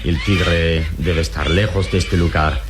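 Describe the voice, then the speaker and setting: An actor playing a calm, gallant, commanding adventure hero in a radio drama, measured and courteous. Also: male voice, low-pitched, heavy background noise. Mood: calm, explaining. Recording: radio drama with loud music.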